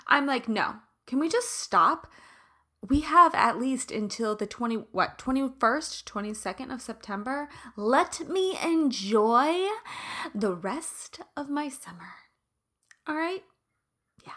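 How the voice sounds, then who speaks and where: A woman hosting a casual personal chat, her voice raspy from allergies, chatty and laughing often. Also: sits high, animated, slow pace, fairly bright tone.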